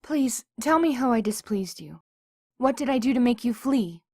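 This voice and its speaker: A woman's voice: fairly high pitch, lively intonation, moderate pace, a little mellow, dry recording, studio quality. A woman voicing a humble female djinni spirit, a servile mare who speaks formally and imploringly. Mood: pleading. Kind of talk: fan audiobook voice acting.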